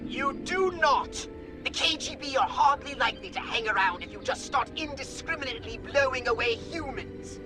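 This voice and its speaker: An irritable old alien mentor from a video game. The voice sits very high and swoops around with formal, pedantic exasperation. Music plays clearly behind it. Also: male voice, expressive, normal speed. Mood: fussy, lecturing. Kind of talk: video game cutscene acting.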